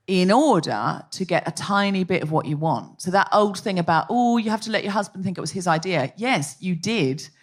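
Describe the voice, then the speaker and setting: A woman hosting a live comedy podcast on stage, articulate and playful, setting up the show's theme. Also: on the low side. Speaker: podcast host. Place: live theatre stage before an audience.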